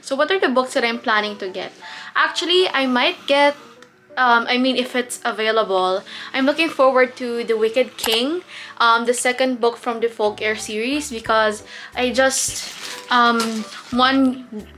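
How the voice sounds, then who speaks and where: A woman's voice: a little high, unhurried, a bit bright. A woman with a Filipino accent chatting casually as a book vlogger, full of fillers and self-corrections. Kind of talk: casual vlog chat.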